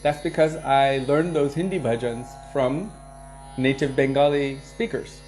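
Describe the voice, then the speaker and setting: A man leading a devotional program, casually answering viewer questions and sharing anecdotes in a relaxed way, chuckling now and then. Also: medium pitch, measured pace, soft background music. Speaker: kirtan leader and devotional teacher.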